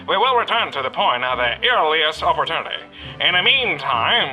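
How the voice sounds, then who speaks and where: Guy doing radio announcer parody, very bright slightly high voice, rambly n cheeky, music under it. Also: low-quality recording. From outside the US. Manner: mock-formal, wandering off script. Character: irreverent, rambling male radio studio announcer. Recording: music behind the voice.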